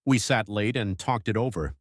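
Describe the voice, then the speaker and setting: A man narrating an audiobook as an earnest young husband reading out his journal in a measured, literary way. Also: on the low side, dry recording, studio quality, natural voice. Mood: warm recounting.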